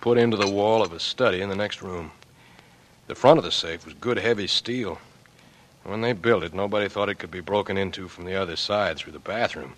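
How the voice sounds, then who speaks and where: An actor playing a guilt-ridden, weary young man turned burglar, grave and halting, in 1940s radio drama style. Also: deep voice, lightly acted. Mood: confessional, subdued.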